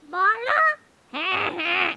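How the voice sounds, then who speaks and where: A woman voicing excitable, cheeky cartoon birds in a children's fable, with squeaky, chattering, repetitive delivery. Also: takes it slowly, gravelly, lo-fi sound, full character voice. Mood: teasing. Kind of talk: children's animated fable acting. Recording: noisy, clicks, birdsong ambience behind.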